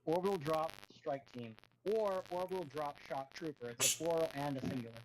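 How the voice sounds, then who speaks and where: A man's voice: a little high, a little fast, low-quality recording. A man as a podcast guest, chatting casually about video games like an eager fan explaining details.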